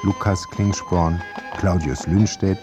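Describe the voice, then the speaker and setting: A male announcer reads radio play credits in a deep voice. He is slow, measured and formal. Music plays clearly behind him. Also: fairly even intonation. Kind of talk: scripted radio drama credits reading.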